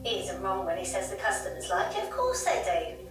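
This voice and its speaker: A woman's voice: medium pitch, wildly swooping pitch, a little fast, music in the background. A woman playing an insistent, fearful pub landlady, telling of a haunting emphatically in an audio drama. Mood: haunted, intense. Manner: emphatic, dramatic, repeats herself. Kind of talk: audio drama storytelling in an interview. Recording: very echoey noisy room, distant mic.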